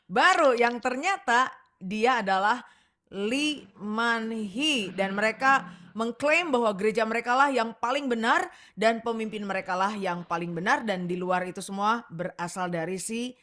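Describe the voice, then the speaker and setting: Higher-pitched Indonesian woman hosting a chat show. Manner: chatty, expressive, giggly. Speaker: talk show host.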